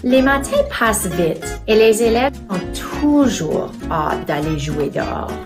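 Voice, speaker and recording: A playful, self-mocking woman narrates her day as a teacher, her French carrying an English-speaking accent. Her pitch swoops widely while she speaks slowly. Music plays clearly behind her. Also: big pitch swings. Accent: English-speaking learner of French. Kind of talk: scripted video narration.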